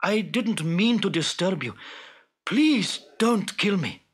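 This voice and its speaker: A man's voice: high-pitched, big pitch swings, slow, fairly bright tone, slightly rough, full character voice. A man voicing a poor, timid fisherman in a storybook, with a pleading, exclamatory manner. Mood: frightened, pleading. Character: poor, frightened fisherman pleading for his life. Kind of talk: children's storybook character voice.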